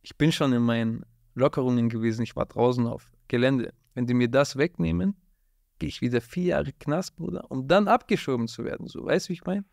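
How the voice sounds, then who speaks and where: Man, animated storyteller, dry close studio mic, mid-pitched. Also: a little fast, gravelly, no room sound. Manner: street slang, constant "Bruder", animated storytelling. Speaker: guest, rapper. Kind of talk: casual interview storytelling. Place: dark studio with blue lights. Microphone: studio mic on boom arm.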